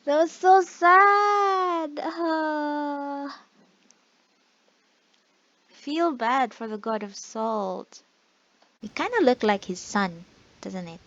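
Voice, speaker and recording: A woman with a fairly high voice comments casually on a video game. She talks slowly and reacts sentimentally, and her microphone sounds a bit dull. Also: lively intonation, fairly bright tone. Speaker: game streamer.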